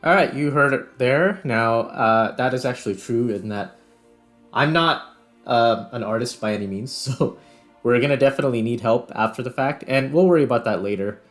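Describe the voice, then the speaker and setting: A man's voice: medium pitch, normal speed. Man casually narrating a game-making tutorial, music behind. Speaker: game developer hosting a tutorial series.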